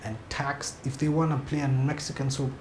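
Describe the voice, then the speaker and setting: A man's voice: medium pitch, flat delivery, fairly quick. A man from Kenya speaks bluntly and emphatically in a sit-down interview. His voice carries a roomy echo with a faint hiss of background noise behind it. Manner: frank, emphatic, blunt opinions. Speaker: TV series director.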